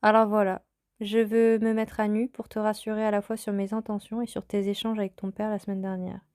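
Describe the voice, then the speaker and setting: Calm woman with a flat, mellow voice. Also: medium pitch, a little fast, dry recording, clean studio sound. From France. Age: 30s. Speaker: podcast host. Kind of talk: personal storytelling podcast.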